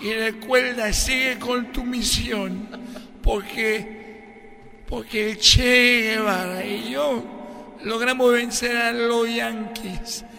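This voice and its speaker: A man's voice: extremely high pitch, fairly even intonation, slow pace, vocal fry, sharp tone, long reverb tail, faint music behind, exaggerated voice. A man voicing the ghost of an old male revolutionary leader, speaking in a proud, fatherly, grandiose way. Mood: solemn.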